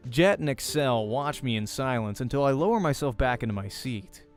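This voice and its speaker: A man reading first-person visual novel narration as a voice actor, informal and quick to comment on his slips. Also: mid-range voice, lively intonation. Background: faint game music.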